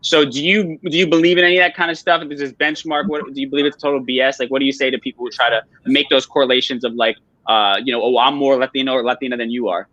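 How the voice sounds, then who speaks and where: A man's voice: medium pitch, fairly even intonation, fast talker, few pauses, pushed and bright, no room sound. An American man moderating a casual roundtable over a video call, asking informal, wordy questions in a muffled lo-fi recording. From New York and New Jersey, United States. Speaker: panel moderator. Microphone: live video call.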